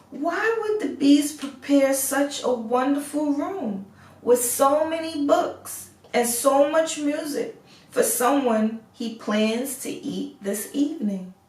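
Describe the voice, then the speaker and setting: Slow-paced woman storyteller in a roomy space. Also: fairly high pitch. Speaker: storyteller reading aloud. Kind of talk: expressive fairy tale read-aloud narration. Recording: roomy echo, distant microphone.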